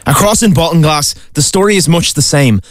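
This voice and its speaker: Male news narrator, scripted and even, slightly raised pitch. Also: brisk, no echo, clean studio sound. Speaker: reporter narrating a feature.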